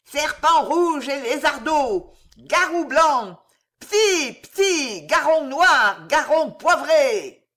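A woman voicing a very old, half-blind, mean village witch who yelps, bosses and chants spells slowly in an audiobook reading. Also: expressive, fairly bright tone, exaggerated voice. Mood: shrieking. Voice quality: shrill, yelping.

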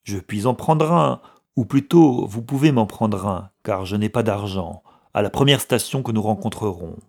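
A male reader playing a scornful, grey-haired outcast from a storybook. The voice is husky and forceful, pushed up above plain narration, with a sardonic sneer and lasting bitterness. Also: on the low side, moderate pace. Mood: defiant. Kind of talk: audiobook character dialogue.

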